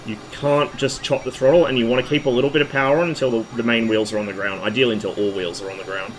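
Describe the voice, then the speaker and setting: A hoarse man, losing his voice, casually talking through a flight-sim stream in a relaxed, rambling way. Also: mid-range voice, fast, slight background noise, poor audio. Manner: relaxed, rambling, with fillers and asides.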